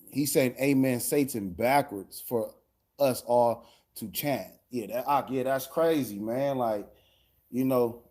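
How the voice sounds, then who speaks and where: A man's voice: mid-range voice, mellow. A man hosting a livestream, chatting loosely between songs with plenty of "you know" fillers. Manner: loose, laid-back talk with many fillers. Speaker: livestream host. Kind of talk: casual chat between songs.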